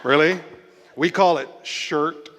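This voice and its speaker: A man from Hawaii performing a stand-up comedy routine with a dry, deadpan delivery and wry asides. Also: on the high side, slow, very noisy. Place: comedy club stage. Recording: live club stage with long reverb and audible crowd.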